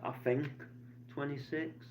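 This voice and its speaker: A man's voice: fairly high pitch, steady tone, takes it slowly, a little mellow. A man, rambling casually through a weekend vlog with plenty of fillers and restarts. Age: 20s. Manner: chatty, meandering, many fillers and restarts. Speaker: DJ vlogger. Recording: slightly dull lo-fi sound.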